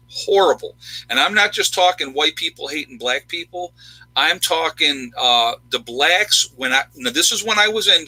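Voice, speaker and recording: A man's voice: mid-range voice, bright, forceful tone, no room sound, poor audio. A man in his fifties from upstate New York, talking bluntly and emphatically in a casual chat with viewers. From New York State, USA. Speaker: channel host, army veteran.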